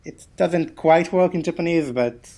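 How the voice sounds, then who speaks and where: A man's voice: fairly high pitch, normal speed, mellow. A man whose first language is Hebrew, a guest on a podcast interview, speaking English hesitantly with many repeated words and restarts. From Israel. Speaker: guest, game translator. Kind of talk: podcast interview over a call.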